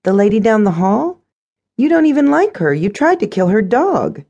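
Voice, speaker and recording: A woman performs a put-upon young stepdaughter in a storybook. She sounds girlish and earnest, with a raised, very mobile pitch and a dreamy streak. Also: very animated, moderate pace, warm tone, breathy edge, dull audio. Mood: incredulous.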